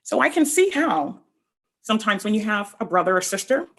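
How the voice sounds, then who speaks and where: A woman reading a picture book aloud to children, expressively, pausing to explain things directly to her young listeners. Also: mid-range voice, sing-song, a bit bright. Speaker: read-aloud storyteller.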